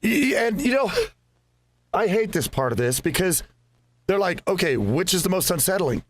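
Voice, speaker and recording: A man's voice: sits high, big pitch swings, normal speed, slight background noise. A man hosting a game playthrough, chatting casually in a musing, rambling, conversational way. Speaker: host of a gaming channel.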